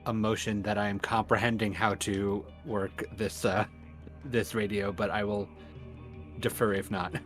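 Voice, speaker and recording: A man's voice: lowish voice, flat delivery, unhurried, fairly bright tone. A man chatting casually as a player in a tabletop roleplaying game. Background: faint music.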